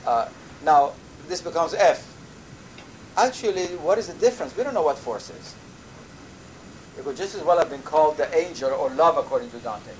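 An older man, a native Persian speaker from Iran, giving a measured university lecture with thoughtful pauses. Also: a little high, animated, low-quality recording. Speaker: professor. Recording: lecture recording with audible background noise.

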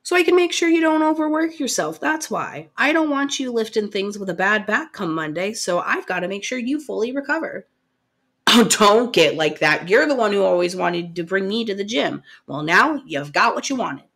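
Casting: actress as doting girlfriend for intimate ASMR roleplay; mid-pitched female voice, soothing, affectionate, kindly insistent. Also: expressive, normal speed, a bit bright. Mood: firm, playful. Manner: coaxing, affectionate, soothing but firm. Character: caring, gently bossy, doting live-in partner, adult.

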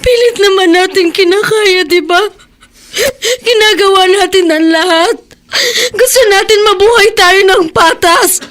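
A woman's voice: extremely high pitch, measured pace, a bit bright. An actress playing a crying, desperate young woman pleading about her love in a radio drama. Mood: tearful. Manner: pleading, tearful.

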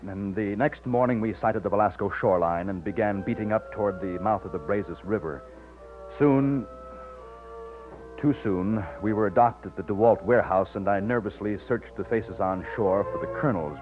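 A man's voice: on the low side, close to an everyday voice. An actor playing an earnest young man in love in an old-time radio drama. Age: young adult. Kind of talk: 1950s radio drama acting and first-person narration. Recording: muffled narrow-band old radio recording.

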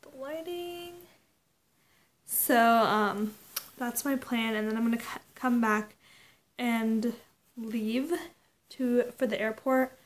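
A woman's voice: a little high, takes it slowly, pauses often. A young woman chatting casually to a vlog camera in an informal, hesitant way with trailing phrases. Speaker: vlogger. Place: indoors, bedroom and hotel suite.

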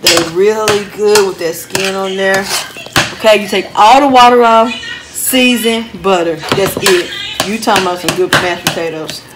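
A woman's voice: mid-range voice, normal speed, very noisy. Chatty woman cooking in an echoey kitchen. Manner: chatty, homey, talks to viewers while cooking. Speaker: home cooking vlogger. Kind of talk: casual cook-along narration. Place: home kitchen. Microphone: phone camera held while cooking. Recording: echoey kitchen with pot and dish clatter.

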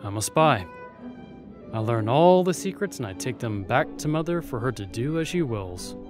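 A man's voice: medium pitch, very animated, music in the background, close to an everyday voice. A man playing a jaded, defiant young spy at a royal court in an audio roleplay, sardonic and guarded. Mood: wry, guarded. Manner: sardonic, bitter, guarded, with pauses.

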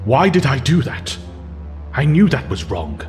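A man voicing a wise, troubled professor with a measured, earnest manner, in an audio drama. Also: mid-range voice, big pitch swings, a little mellow, big-room sound, faint music behind.

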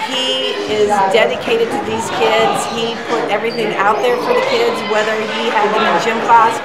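A middle-aged woman, a teacher, giving an earnest, steady tribute. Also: fairly high pitch, normal speed, echoey room. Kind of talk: prepared tribute. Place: school gym hallway, indoors. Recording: noisy gym with loud crowd. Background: crowd noise and bouncing basketballs.

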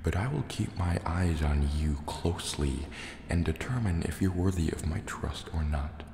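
A man voicing a wary, brooding sea serpent dragon in a soft-spoken ASMR roleplay, speaking hesitantly in formal words. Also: low voice. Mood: suspicious, guarded. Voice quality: gravelly, softly spoken. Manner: slow, hesitant, formal wording. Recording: cavernous echo, long reverb, faint water noise.

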